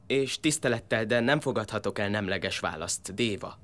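A man acts out a young warrior hopeful in an audio drama. His low voice is earnest and respectful, but insistent. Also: fairly bright tone, close to an everyday voice.

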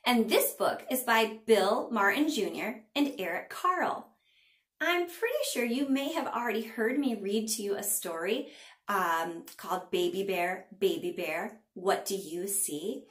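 A middle-aged woman, a teacher, doing an expressive read-aloud for young children in a small room. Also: mid-range voice, a little slow, roomy sound. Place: small indoor room at a table.

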